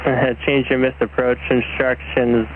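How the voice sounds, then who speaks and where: A man's voice: mid-range voice, level tone, unhurried, a crowd in the background. Male air traffic controller over radio. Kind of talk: radio phraseology. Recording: muffled radio transmission.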